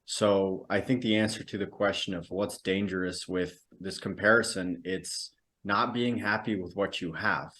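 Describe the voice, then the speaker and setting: A man's voice: low voice, steady tone, normal speed, fairly bright tone. A man from the Netherlands, a native Dutch speaker, giving reflective, explanatory answers as a podcast guest in conversation.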